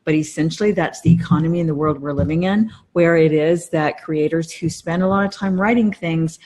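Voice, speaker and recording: A middle-aged woman with a distinctly low voice talks as a podcast co-host. Her delivery is casual and conversational, with an earnest, explanatory manner. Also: brisk, warm tone.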